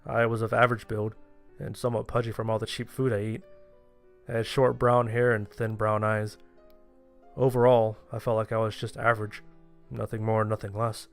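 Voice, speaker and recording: A man tells a horror story in the first person. His voice sits on the low side, and soft music runs underneath the narration.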